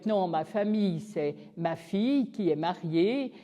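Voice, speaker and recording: A woman's voice: on the low side, expressive, unhurried, warm tone. An older woman from France, a grandmother, hesitantly describing her small family. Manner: hesitant, with restarts. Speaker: interviewee. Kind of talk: personal life story. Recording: reverberant room.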